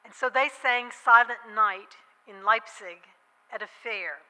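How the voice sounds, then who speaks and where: A woman with a very bright, forceful, somewhat high voice speaks to a church congregation. She tells her story in deliberate short phrases, pausing between them. Her words ring out with the long reverb of a large sanctuary. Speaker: church speaker introducing a hymn. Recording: long echoey hall reverb.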